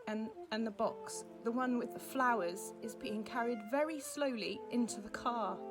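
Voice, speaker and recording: An actor playing a grieving woman who gently describes what she watches, in simple, hesitant phrases, as an audio drama monologue. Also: fairly high pitch, moderate pace, close to an everyday voice. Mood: wistful, hesitant. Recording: music behind the voice.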